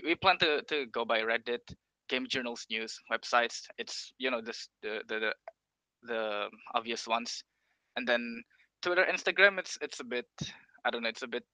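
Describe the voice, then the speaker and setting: A young man, a student, giving an informal presentation of a startup pitch and often repeating words as he searches for phrasing. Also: pushed and bright, no room sound, poor audio. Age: young adult.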